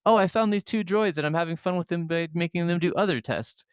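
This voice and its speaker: A man's voice: sits high, fast talker, smooth, dry recording, clean studio sound. A man co-hosting a gaming podcast, talking casually. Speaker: podcast co-host.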